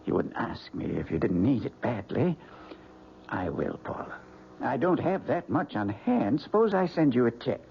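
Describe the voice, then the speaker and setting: An actor playing a kind, generous older male family friend in a 1970s radio drama, with a gravelly voice and a gentle, hesitant way of talking. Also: mid-range voice, big pitch swings, normal speed, slight background noise. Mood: concerned. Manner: gentle, hesitant, softly spoken. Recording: dull lo-fi old radio broadcast.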